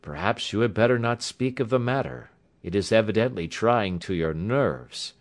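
A man's voice: lowish voice, normal speed, natural voice. A man playing a formal, concerned and authoritative doctor in an audiobook story. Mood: professional concern. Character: calm, authoritative, sympathetic male doctor of Victorian tale.

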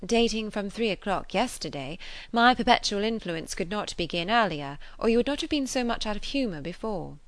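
A woman's voice: mid-range voice, expressive, fairly quick, no echo, pitched above the performer's own voice, natural voice. A woman reader acts a clever young lady from a classic novel. She is self-assured and playful, teasing with arch, ceremonious politeness. The sound is close and dry. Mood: playful reproof.

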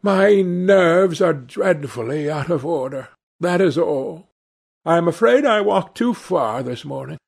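Male narrator as anxious young gentleman, melancholy, self-absorbed, plaintive, raised pitch, audiobook character. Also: animated, a little slow, vocal fry, breathy edge, heavily acted. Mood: strained politeness. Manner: plaintive, earnest, polite.

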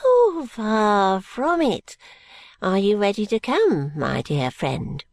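A woman's voice: medium pitch, very animated, takes it slowly, no echo, clean studio sound, exaggerated voice. A woman voicing a breathless, wheedling and spiteful old male moneylender in a storybook reading. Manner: fawning endearments breaking into angry curses.

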